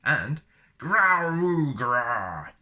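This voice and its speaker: A man's voice: medium pitch, sing-song, sharp tone, voice pushed up, full character voice. A man voicing a big hairy alien creature that growls and howls in short wordless bursts, as in a storybook reading. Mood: loud roaring. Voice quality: growling, howling. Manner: wordless roars and drawn-out moans in short bursts.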